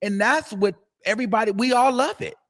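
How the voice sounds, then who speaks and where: A man's voice: sits high, brisk. A man hosting a live stream, giving emphatic opinions in casual chat. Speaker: host.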